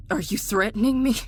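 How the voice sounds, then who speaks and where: A woman playing an injured enemy soldier in an audio drama, defiant yet rattled, speaking in a halting, bitter, incredulous way. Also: mid-range voice, slight background noise, lightly acted.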